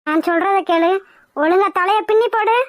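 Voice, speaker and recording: A woman's voice: very high voice, moderate pace, dry recording, clean studio sound. A woman voicing a bossy, jealous old grandmother who nags and scolds. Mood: scolding. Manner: nagging, scolding. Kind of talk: comedy skit.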